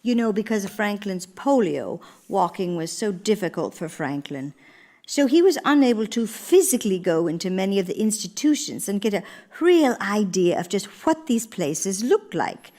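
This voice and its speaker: A woman playing an earnest, idealistic older First Lady in live radio theater, speaking in a measured, oratorical manner. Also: medium pitch, very animated, dark tone. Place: live stage before a studio audience in a church.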